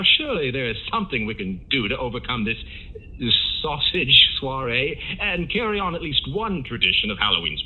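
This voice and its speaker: A man's voice: mid-range voice, breathy edge, narrow, tinny audio. A man playing a pompous, officious announcer in a horror comedy audio drama, with grandiloquent delivery. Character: pompous, officious male announcer sent by management. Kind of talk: horror comedy radio acting.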